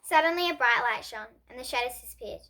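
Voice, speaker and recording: Little girl reading a kids storybook, high bright voice, really expressive. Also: fast. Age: child. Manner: expressive, with emphatic character dialogue. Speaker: young presenter reading a children's book. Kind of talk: read-aloud bedtime story.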